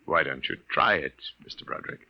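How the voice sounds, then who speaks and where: An actor playing a smug, menacing Venusian invasion leader in a 1950s radio drama, taunting and theatrical, on a dull old recording. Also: male voice, extremely low pitch, a little fast.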